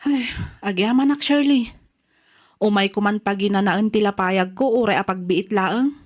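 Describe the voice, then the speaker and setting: An actress playing a chatty, sympathetic in-law in a radio drama, gossipy and Ilocano-accented. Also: female voice, mid-range voice, normal speed, natural voice.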